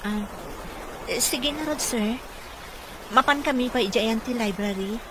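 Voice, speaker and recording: A woman's voice: fairly high pitch, a little slow, lightly acted. A woman playing a kind, concerned caregiver in an Ilocano radio comedy-drama. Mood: concerned, respectful. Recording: noisy radio drama mix.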